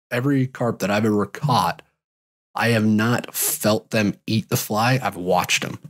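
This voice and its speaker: A man in his thirties hosting a solo podcast from a home studio, answering listener questions in a relaxed, rambling way, a little raspy from a cold. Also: on the low side. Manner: relaxed, rambling, frequent uh and restarts. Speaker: podcast host and fly fishing guide. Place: home studio with gear shelves. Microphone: large studio mic, headphones on.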